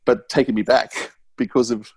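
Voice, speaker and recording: A man hosting a conversational podcast interview, tentative and halting as he works towards each question. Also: medium pitch, steady tone, husky, dry recording. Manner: tentative, halting, self-correcting.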